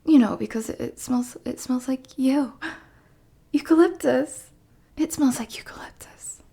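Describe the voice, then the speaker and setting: A woman's voice: high voice, unhurried, vocal fry, dark tone, slightly rough, exaggerated voice. A woman playing a dorky, lovestruck bodyguard in an ASMR roleplay, bashful and stammering, muttering asides as she clumsily hides her obsession. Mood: flustered, stammering.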